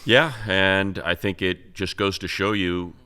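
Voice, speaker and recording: A man's voice: low-pitched, a little slow, bright, forceful tone, clear, resonant tone. A man hosting a sports show, giving casual, emphatic football analysis in conversation.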